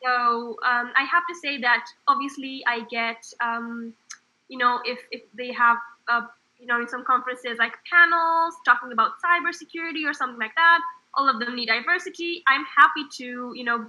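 Bright high-voiced founder with hesitant speech. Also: female voice, fairly even intonation, moderate pace, rough recording. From Israel. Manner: hesitant, many fillers and restarts. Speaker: guest, startup founder. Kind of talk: casual interview answers.

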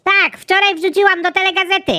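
Man putting on a matter-of-fact female cartoon secretary, squeakily high and bright. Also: level tone, brisk, exaggerated voice. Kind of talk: animated comedy series dialogue.

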